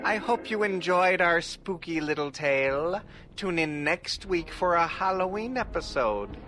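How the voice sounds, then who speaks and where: A man's voice: sits high, lively intonation, unhurried, over music. A man hosting a podcast, reading a scripted sign-off to listeners. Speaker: podcast host.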